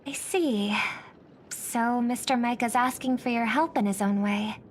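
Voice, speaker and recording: A woman playing a poised, polite young female singer in a video game, speaking in a measured, courteous way. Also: a little high, a little hiss or hum, lightly acted. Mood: thoughtful. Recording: game dialogue over music.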